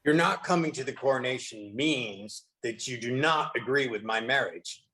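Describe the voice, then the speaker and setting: A man's voice: medium pitch, normal speed, sharp tone, lightly acted. A man playing a proud, possessive, threatening king in an online play reading. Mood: indignant, threatening.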